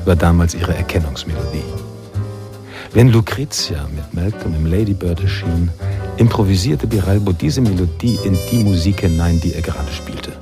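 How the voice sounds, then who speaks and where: An actor playing a reflective, nostalgic male narrator in a noir radio drama, speaking in a measured, literary manner. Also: low voice. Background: jazz music.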